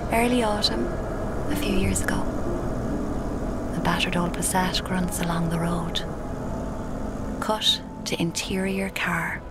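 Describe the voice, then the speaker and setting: An actress playing a dreamy, self-deluded young Irish woman narrating her own story in a breathy, confiding, languid way for radio drama, with music underneath. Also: lowish voice. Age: young adult. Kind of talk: intimate first-person radio drama narration.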